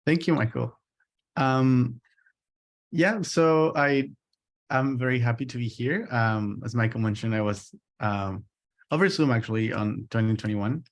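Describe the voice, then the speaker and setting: A man from Chile with a Chilean accent and native Spanish, giving an informal academic lecture in a hesitant, halting way, often repeating words. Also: medium pitch. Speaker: postdoctoral researcher giving a lecture.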